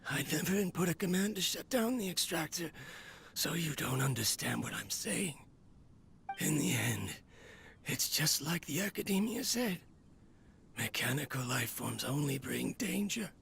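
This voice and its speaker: Weary, higher-pitched lonely inventor, noisy recording. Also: male voice, big pitch swings, unhurried, gravelly, heavily acted. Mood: despairing. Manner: wistful, sighing, confessional. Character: weary, lonely male inventor confiding in his machine. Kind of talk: dramatic video game voice acting.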